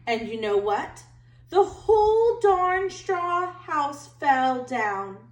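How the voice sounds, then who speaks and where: Animated high-voiced wolf, read by a woman. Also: extremely slow, full character voice. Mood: matter-of-fact. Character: chatty, self-justifying male wolf telling his side. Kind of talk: animated children's read-aloud narration. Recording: roomy, distant home recording.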